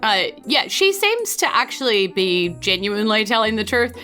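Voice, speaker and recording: A bright-voiced woman with a New Zealand accent runs a tabletop game as game master. Her casual narration is very animated in pitch, and faint music plays behind her. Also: normal speed.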